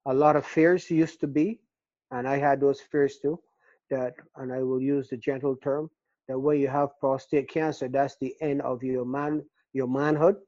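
Older Barbadian man, earnest and halting. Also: mid-range voice, flat delivery, darkish tone, no echo. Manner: earnest, halting with repeated words. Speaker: interviewee. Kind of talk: casual interview. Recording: dull, dry lo-fi recording.

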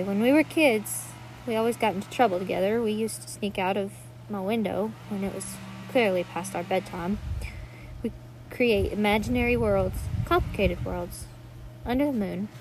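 A woman's voice: mid-range voice, darkish tone, natural voice, low-quality recording. A woman playing an earnest, pleading young sister in a dramatic monologue reading.

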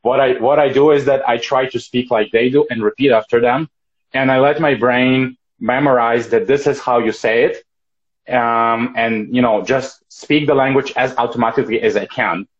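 Mid-pitched man, native Russian speaker. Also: steady tone, moderate pace. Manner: fluent, thoughtful, some restarts. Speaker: guest. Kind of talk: casual interview. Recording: dull lo-fi sound.

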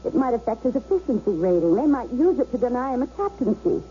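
A woman's voice: fairly high pitch, sing-song, fast talker, slightly rough, heavily acted. A woman playing an anxious, earnest bank manager and troubled wife, in a dramatic radio drama style. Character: anguished bank manager, fearful, conflicted wife and mother. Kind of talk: 1970s radio drama acting. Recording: dull, noisy old radio broadcast recording.

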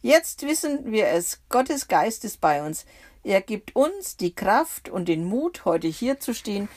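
A woman voicing the apostle Peter, a joyful and excited preacher in an audio bible story. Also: high voice, slow, full character voice.

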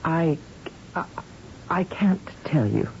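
A woman's voice: sits low, lively intonation, takes it slowly, vocal fry, a little mellow, full character voice. An actress playing a distraught woman scientist and loyal wife, stammering emotionally in an old-time radio drama. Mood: nervous, evasive. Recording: muffled, noisy old radio recording.

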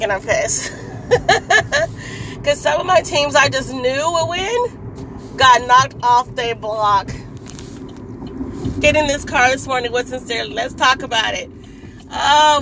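Hoarse raspy woman chatting in a car. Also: sits high, sharp tone, slight background noise, poor audio. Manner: chatty, laughs often, clears throat. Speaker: vlogger. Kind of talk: casual chat while driving. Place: in a car on a commute.

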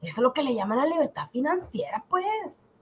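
A man's voice: very high-pitched, big pitch swings, fairly quick, fairly bright tone, lo-fi sound, voice pushed up, exaggerated voice. A man voicing a worldly, sly male neighbour who explains and insists in a folksy way in a comic radio drama. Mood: knowing, persuasive.

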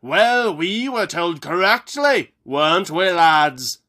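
Man putting on a gruff dwarf general, storybook figure, brash, loud, raised pitch. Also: sing-song, slow, heavily acted. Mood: boisterous. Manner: loud, blustering, rough-spoken.

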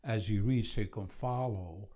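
Mellow, low-voiced Iraqi-accented man. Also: moderate pace. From Iraq. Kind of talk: conversational book discussion.

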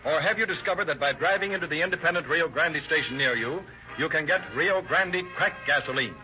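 A male announcer reads in a formal, declamatory 1930s radio manner. His voice sits a little high, on a muffled old broadcast with music clearly behind it. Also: a little fast, bright, forceful tone. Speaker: announcer and narrator.

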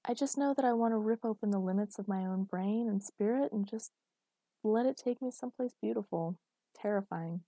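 A woman's voice: medium pitch, a little fast, dark tone, no echo, close to an everyday voice. A woman voicing a headstrong, dreamy and defiant woman with naive candour in a dramatic story reading. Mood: yearning.

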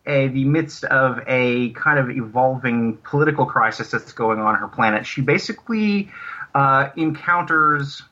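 A man talking casually as an interview guest, explaining his audio drama work in a halting, rambling, self-correcting way. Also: mid-range voice, bright, forceful tone. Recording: dull lo-fi connection.

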